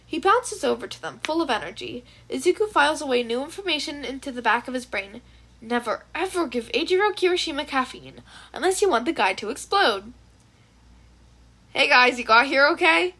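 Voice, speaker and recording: High-voiced woman narrating a story. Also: very animated, fairly quick, a bit bright. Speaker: fan fiction narrator. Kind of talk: scripted narration, audiobook reading.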